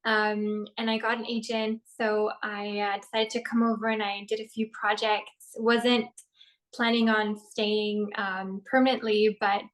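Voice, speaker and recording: Measured actress, flat tone. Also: female voice, medium pitch, poor audio. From Norway. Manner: thoughtful and measured, with frequent ums. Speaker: actress, interview guest. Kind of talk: casual interview answers.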